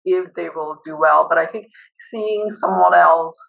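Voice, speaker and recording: A woman's voice: lowish voice, a little slow, gravelly. Firm woman who stutters, tinny call audio. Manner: stutters with repeated words, firm and direct. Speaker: professor and panelist. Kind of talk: panel discussion over video call. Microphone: video call. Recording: tinny phone-like line.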